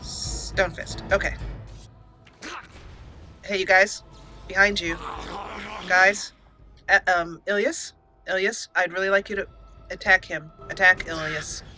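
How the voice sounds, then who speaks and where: Woman gaming host, bright voice, chatty and giggly, faint game music behind. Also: lowish voice, fairly even intonation, slow pace, pauses often. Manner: chatty, giggly, self-mocking sarcasm. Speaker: let's play host. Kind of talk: casual gameplay commentary.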